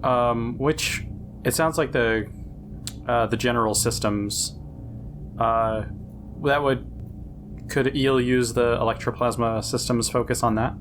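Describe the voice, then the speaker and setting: A man playing a tabletop game, thinking aloud casually in halting phrases. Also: medium pitch, steady tone, noisy background.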